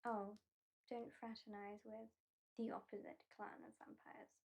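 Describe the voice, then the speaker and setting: An aloof British vampire hypnotist, played by a woman in an ASMR roleplay. Her voice is mellow and whispery, a little high for a woman. She talks very slowly and deliberately, with a dry, dismissive edge. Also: flat delivery. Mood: dry, matter-of-fact. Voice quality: soft, breathy, whispery. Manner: slow, deliberate, lightly dismissive.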